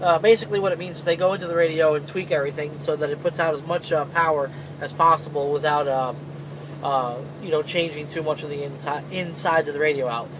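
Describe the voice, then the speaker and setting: High-voiced man giving a casual tutorial, noisy background. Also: steady tone, fast. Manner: informal, rambling, mildly salty language. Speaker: truck driver presenting a how-to video. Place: inside a parked semi truck cab. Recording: clearly noisy vehicle ambience.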